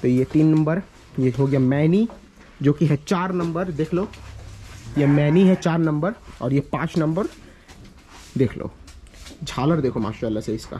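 Young male vlogger, lightly high mellow voice, guiding viewers on a casual tour over ambient noise. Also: a little slow, long pauses. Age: young adult. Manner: repetitive, instructive, addressing viewers. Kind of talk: casual walking vlog, giving directions and farm tour. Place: village lanes outdoors and cattle shed. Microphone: handheld camera at arm's length. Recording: noisy handheld outdoor recording, ambient sound.

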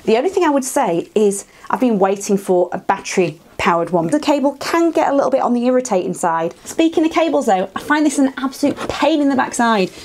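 British woman in her 30s, kinda high voice, chatty and keen about her tools, lapel mic in a small shed. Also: animated, brisk, hardly any pauses, a little mellow. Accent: British English. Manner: chatty, enthusiastic, frank asides. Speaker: woodworking vlogger. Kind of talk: casual tool walkthrough to camera. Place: small wooden workshop shed, indoors.

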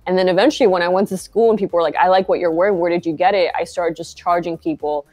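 A woman's voice: on the low side, steady tone, fast, darkish tone. A young woman from Argentina, a founder guest on a video call, talking casually with many fillers. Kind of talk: casual interview chat. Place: bright shop interior, video call.

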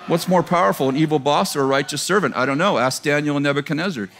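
A man's voice: on the high side, fast, fairly bright tone. A man in his fifties preaching a sermon in a large church auditorium to a live congregation, talking in a casual joking way and laughing at his own lines. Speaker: pastor. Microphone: headset mic.

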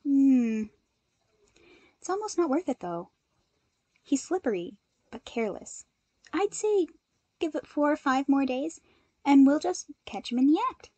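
An earnest, clever young man in an audio story, voiced by a woman, eager and cheerful. The voice is highish and lightly animated, with a mellow tone in a dry recording. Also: a little slow, pauses often, no echo. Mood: thoughtful, confident. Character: earnest, cheerful, analytical young man, teasing agency secretary.

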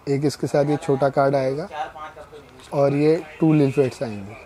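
A middle-aged man running a shop, walking a customer through products and prices in a steady, explanatory salesman's patter. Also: medium pitch, moderate pace. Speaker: wedding card shopkeeper. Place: small indoor shop.

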